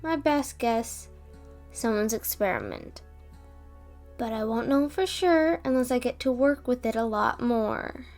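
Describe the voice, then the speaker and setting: Slow, high-voiced woman acting a caring scientist. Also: big pitch swings, a little mellow, soft background music. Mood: thoughtful. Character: stressed, caring female scientist doctor, protective of creatures. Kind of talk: amateur audio roleplay drama.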